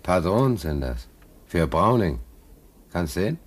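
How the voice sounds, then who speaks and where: Bitter, low-voiced ship stoker. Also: male voice, sing-song, unhurried, husky, exaggerated voice. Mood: wary discovery. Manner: colloquial, brooding, indignant. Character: uneasy, bitter working-class male ship stoker. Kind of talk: German radio play acting.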